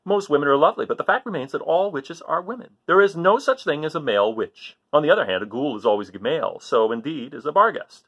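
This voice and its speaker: A man's voice: a little high, animated, fast talker, hardly any pauses, fairly bright tone, husky, muffled recording. A man reading a children's book aloud in a theatrical, emphatic way, drawing out phrases for suspense. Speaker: storybook reader for children.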